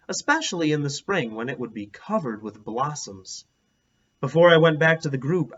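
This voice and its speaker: A man reading a children's book aloud as an expressive storyteller. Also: on the high side, highs cut off.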